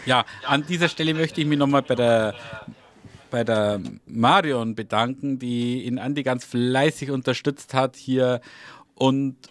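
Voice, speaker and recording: A man's voice: mid-range voice, fairly even intonation, a little slow, fairly bright tone. A man hosting a live radio show in a casual, chatty style.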